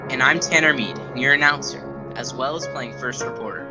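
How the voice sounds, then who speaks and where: A man's voice: mid-range voice, normal speed. Young guy reading radio play credits as announcer, slightly dull sound, music bed. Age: young adult.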